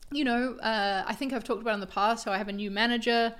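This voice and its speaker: A young woman co-hosting a podcast, her voice a little raspy from heavy use, chatting in a friendly, teasing way. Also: on the high side, fairly even intonation, fast, a bit bright. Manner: friendly, teasing, laughs easily. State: slightly raspy from a long filming day. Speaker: podcast co-host. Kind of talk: casual chat.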